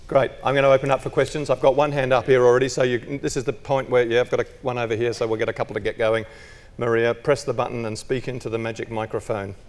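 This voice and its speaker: A man moderates a conference panel at a fast clip. He affirms each speaker and steers the floor briskly. His voice carries a long reverb from the hall. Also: medium pitch, flat delivery.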